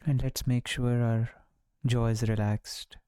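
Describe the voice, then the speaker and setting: A man leading a guided relaxation meditation, speaking calmly and soothingly, leaving long pauses between short phrases. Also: mid-range voice, clean tone, dry recording, clean studio sound. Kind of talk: guided body-scan meditation.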